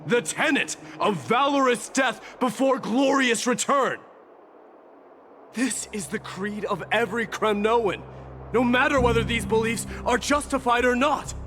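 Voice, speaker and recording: Proud young warrior prince. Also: male voice, extremely high pitch, measured pace, a bit bright, soft background music. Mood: impassioned. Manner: earnest, solemn, formal. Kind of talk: dramatic video game acting.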